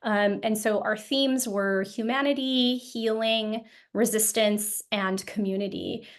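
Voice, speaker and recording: A woman guest in a casual interview, speaking thoughtfully with many pauses, ums and restarts. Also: medium pitch, steady tone. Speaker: guest, founder of an occupational therapy group.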